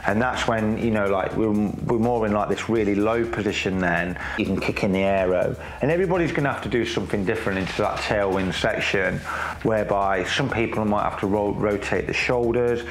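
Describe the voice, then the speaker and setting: A man's voice: lowish voice, a little fast, a little hiss or hum. A man in his forties, a cycling coach, explaining technique to camera in a loose, chatty way full of fillers and restarts, seated in a small room. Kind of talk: casual explanatory talk to camera. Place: small room with grey wall, seated in chair. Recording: echoey room.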